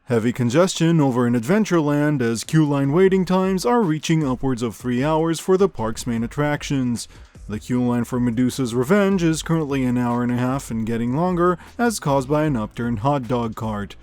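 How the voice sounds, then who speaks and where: A man playing an unflappable local radio news anchor, reading parody bulletins in a measured, deadpan announcer delivery. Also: mid-range voice, natural voice. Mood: composed, dry. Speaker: host. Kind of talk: scripted news broadcast parody.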